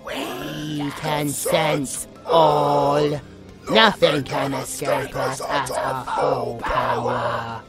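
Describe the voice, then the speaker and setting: A woman's voice: a bass voice, flat delivery, over loud music, exaggerated voice. A woman playing a sadistic, playful demon monster in a horror audio drama, gloating and speaking as a collective. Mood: gloating menace. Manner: slow, gloating, speaks of itself as we. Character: sadistic, playful hive-mind demon monster hungry for blood.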